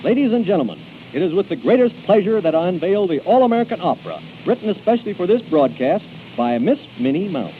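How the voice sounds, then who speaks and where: Male 1930s radio emcee: theatrical, expressive, slightly high voice, comic banter and announcements, tinny vintage broadcast. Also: quick pace, hardly any pauses, a little hiss or hum. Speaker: radio show host and announcer.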